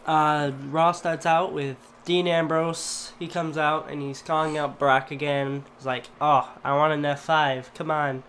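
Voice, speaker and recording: A man's voice: a little high, level tone, measured pace, hardly any pauses, a bit bright, a little hiss or hum. A man co-hosting a casual wrestling review, laid-back and trailing off, a bit stuffy from a cold. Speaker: co-host. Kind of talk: casual chat.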